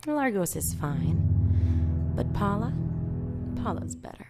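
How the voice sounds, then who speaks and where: A woman's voice: medium pitch, big pitch swings, takes it slowly, loud music behind the voice. An actress playing a coy, flirtatious, mysterious nightclub woman in an audio drama, teasing and intimate.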